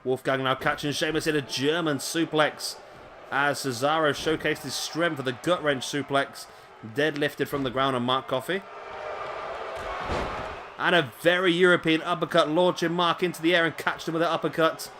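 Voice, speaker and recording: A man giving casual play-by-play commentary on a wrestling video game, chatty with rambling asides to viewers, his voice going a little hoarse. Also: on the high side, steady tone, moderate pace, bright, forceful tone, slight background noise. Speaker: gaming commentator. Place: home room, late at night.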